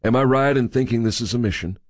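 A man's voice: medium pitch, fast talker, no echo, pitched above the performer's own voice, natural voice. A man voicing a cool, cynical male drifter in a dry, conversational manner. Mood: cool, polite.

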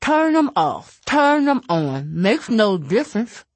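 A woman voicing a stubborn, practical female engineer who dismisses the idea, husky-voiced. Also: medium pitch, sing-song, slow, no echo, voice pushed up.

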